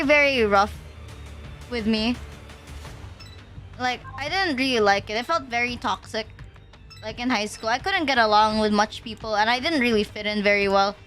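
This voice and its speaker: A woman's voice: high voice, bright, forceful tone. A young woman from the Philippines chatting casually on a livestream, rambling with lots of fillers and slang. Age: young adult. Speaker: streamer.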